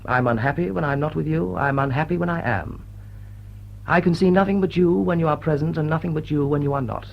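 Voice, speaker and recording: An actor playing a cynical, smitten upper-class bachelor lord in a period drawing-room drama, witty and arch. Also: male voice, a little high, creaky, slight background noise. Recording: dull, muffled audio.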